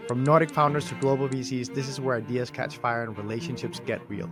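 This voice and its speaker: A man's voice: medium pitch, steady tone, music in the background. Upbeat Danish-accented man hosting a podcast. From Denmark. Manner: upbeat, jokey, fast-talking. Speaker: podcast host. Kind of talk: casual podcast chat and sponsor reads.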